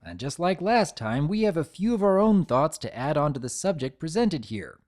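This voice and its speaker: A man's voice: fairly high pitch, very animated, normal speed, darkish tone. A man hosting a fan channel, giving scripted analysis commentary in an earnest, formally worded way.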